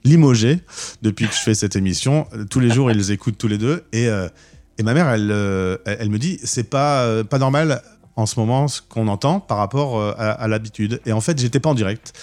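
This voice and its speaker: A man's voice: fairly low pitch, brisk, darkish tone, faint music behind. A man hosting a radio show, chatting casually in an interview with enthusiasm and frequent stammered restarts. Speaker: radio host. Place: radio studio.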